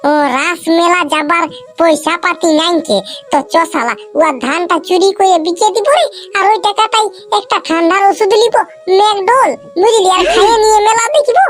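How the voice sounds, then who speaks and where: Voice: very high-pitched, normal speed, a bit bright, soft background music. A performer with a Purulia Bengali accent voicing a brash, scheming village boy in a comedy cartoon, boastful and whining in rural dialect. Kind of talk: exaggerated comedy cartoon dialogue.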